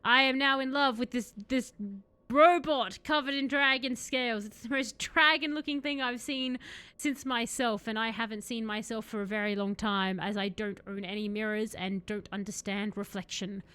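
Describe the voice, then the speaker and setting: Woman as a lovestruck giant dragon, declamatory, earnest, high-pitched, sharp tone, game storytelling. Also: steady tone, normal speed, smooth. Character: huge lovestruck everlasting dragon, earnest and grandiose.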